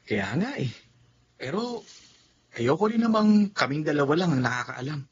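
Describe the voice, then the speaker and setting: A man's voice: on the high side, big pitch swings, moderate pace, husky, muffled recording. An actor playing a young man who earnestly confides his secret romance to a friend. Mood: confiding. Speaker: tricycle driver. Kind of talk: radio drama acting.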